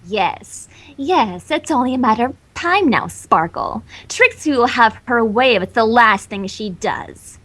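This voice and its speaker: A woman's voice: a little high, lively intonation, measured pace, hardly any pauses, a bit bright. A woman voicing a boastful, scheming female cartoon pony magician who haughtily speaks of herself in the third person. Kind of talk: fan fiction character reading.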